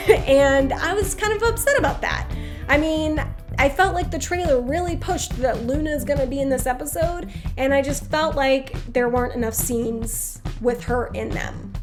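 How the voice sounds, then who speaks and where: A woman with a slightly high voice talks through a casual review, chatty and frank with her opinions. She sounds congested, as if she keeps needing to clear her throat. Music plays clearly behind her. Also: measured pace. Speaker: drama review vlogger.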